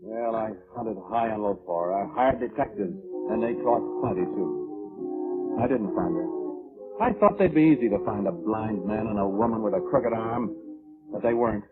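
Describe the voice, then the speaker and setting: A man's voice: lowish voice, moderate pace, natural voice. A man acting in a 1940s radio drama, playing a violent, self-justifying man who defensively recounts his grudge. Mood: brooding. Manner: defensive, blustering. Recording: muffled old radio broadcast, music underneath.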